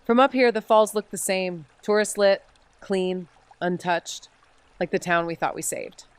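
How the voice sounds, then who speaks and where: An actress playing a wry, chatty young amateur sleuth in a fiction podcast, with a casual, wisecracking manner. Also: female voice, mid-range voice, measured pace, clean tone, no echo, lightly acted. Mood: reflective narration. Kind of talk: fiction podcast drama acting and narration.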